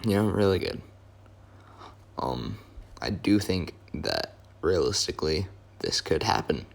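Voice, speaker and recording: A hoarse young man, his voice worn from yelling, rambling casually through a wrestling talk with many fillers and self-corrections. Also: deep voice, animated, slow. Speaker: wrestling video host. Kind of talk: casual fantasy-booking commentary.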